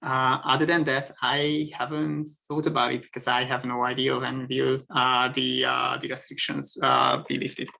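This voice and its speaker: Gravelly Hungarian-accented man, hesitant. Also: mid-range voice, flat delivery, normal speed, hardly any pauses, pushed and bright. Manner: hesitant, many fillers and restarts. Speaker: guest engineer. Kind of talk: casual chat. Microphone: video call.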